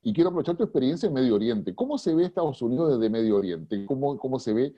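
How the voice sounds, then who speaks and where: A man hosting a panel show, moderating briskly and handing questions to guests. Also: mid-range voice, lively intonation, dry recording, dull audio. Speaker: host, moderator.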